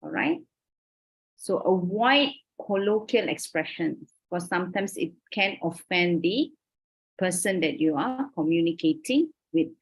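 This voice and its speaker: A woman's voice: fairly low pitch, expressive, a little slow, slightly rough, low-quality recording. A Malaysian woman lecturing over a video call, explaining her points carefully and often checking in with "okay?". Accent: Malaysian English. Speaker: university lecturer. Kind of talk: online lecture.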